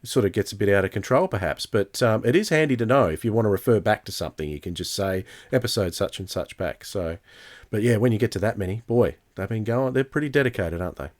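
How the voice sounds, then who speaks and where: A man's voice: lowish voice, animated, quick pace. A man hosting a podcast, chatting casually and thinking aloud as he goes. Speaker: podcast host.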